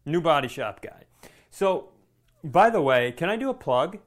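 A man co-hosting a podcast, chatting casually in a halting, reflective, informal way. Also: a little high, big pitch swings. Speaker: podcast co-host. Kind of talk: casual chat.

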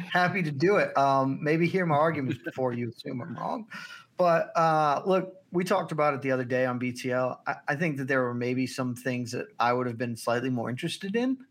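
A man co-hosting a casual fight chat, talking quickly and easily. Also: mid-range voice, a bit bright. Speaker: podcast co-host.

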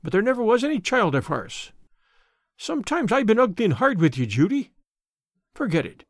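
A blustering middle-aged Irish husband from a storybook, played by a male narrator. He is gruff and sardonic with a soft heart underneath. The pitch is raised and very animated. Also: moderate pace, dry recording, clean studio sound. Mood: gruffly tender.

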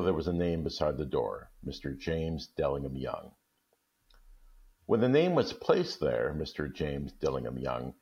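A man's voice: rumbling low, darkish tone. A man speaking as a guest reader, in casual chat and storybook reading, on a dull recording. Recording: dull, muffled lo-fi audio.

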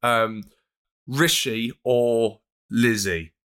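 A man, a comedian guest on a podcast, rambling and playful and quick to giggle. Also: mid-range voice, fairly bright tone, clean tone. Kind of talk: comic podcast chat.

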